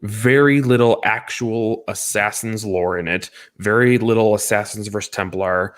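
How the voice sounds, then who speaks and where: Low-voiced man talking movies, opinionated. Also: steady tone, moderate pace. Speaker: podcast co-host reviewing films. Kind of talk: casual opinionated movie discussion.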